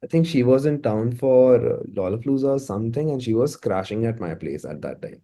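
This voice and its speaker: A man's voice: medium pitch, lively intonation, fairly quick, warm tone. A man with an Indian accent chatting casually in an interview as a musician, often repeating words as he forms his thoughts. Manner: informal, stammering repetition of words as thoughts form.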